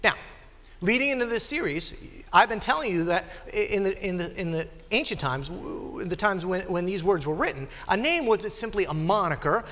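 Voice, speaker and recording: A pastor in the pulpit, a man with a nasal, high-pitched voice. His delivery is lively and conversational, full of asides to the congregation. The recording carries the long reverb of a big sanctuary. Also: normal speed. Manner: conversational, animated preaching with asides. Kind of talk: sermon. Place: church sanctuary. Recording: live sermon in a reverberant hall.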